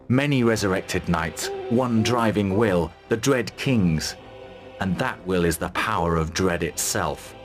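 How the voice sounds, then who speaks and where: A man playing a battle-hardened, fearless commander in a fantasy game, speaking tersely with dry resolve. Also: lowish voice. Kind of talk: dramatic game cutscene acting.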